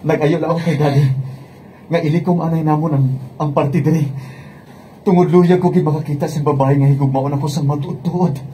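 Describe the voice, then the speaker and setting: A man's voice: a little high, steady tone, fairly quick, dark tone, slight background noise, close to an everyday voice. A man playing a tender, insecure young suitor in a radio romance drama, hesitant and sincere, with a Hiligaynon Filipino accent. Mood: emotional. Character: tender, insecure wealthy young suitor seeking true love.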